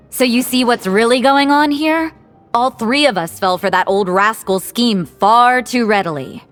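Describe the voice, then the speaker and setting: A woman's voice: fairly high pitch, unhurried, a bit bright. A woman playing a worldly, irreverent young church sister in a video game, with a dry, composed delivery. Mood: amused, dry. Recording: background music under the voice.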